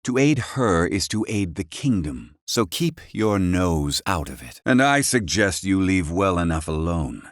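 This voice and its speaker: Grumpy old village inventor from a fantasy game, lowish voice, snooty and curt, fancy old-timey wording, super dry recording. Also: male voice, very animated, measured pace, few pauses, no room sound. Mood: scornful. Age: older. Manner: haughty, curt, archaic erudite phrasing. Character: gruff, proud, learned old male inventor of fantasy village. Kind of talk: video game character dialogue.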